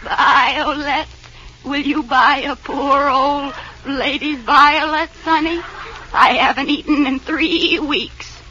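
A woman's voice: high-pitched, steady tone, takes it slowly, bright, forceful tone, full character voice. A woman playing a pitiful old flower seller with a goat-like bleat, in a radio comedy. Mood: pitiful, pleading. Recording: noisy, muffled vintage radio recording.

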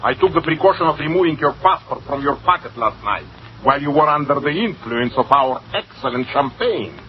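Man playing a crafty, animated cafe bookkeeper. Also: on the high side, a little fast, lightly acted. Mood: sly, smug. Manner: theatrical, protesting, precise. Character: indignant, crafty male cafe cashier and bookkeeper. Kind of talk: old-time radio comedy acting. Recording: muffled, hissy old radio recording.

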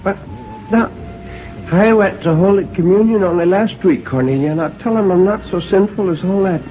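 A man voicing a stubborn, proud old woman on her deathbed, halting and querulous, in a story reading. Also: high voice, soft background music, voice pushed up, natural voice. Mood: weary, stubborn. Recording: dull old radio sound, clear noise.

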